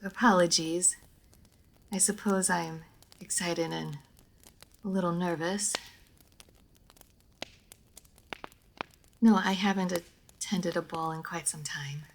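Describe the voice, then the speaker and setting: An ancient vampire lady, played by a woman, speaks slowly in a fairly low voice. She is proud and guarded with a playful edge, and her wording is formal and old-fashioned, as in an intimate audio roleplay. There is noticeable noise behind her. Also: steady tone, vocal fry. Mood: wistful, reserved. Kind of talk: roleplay audio drama addressed to the listener.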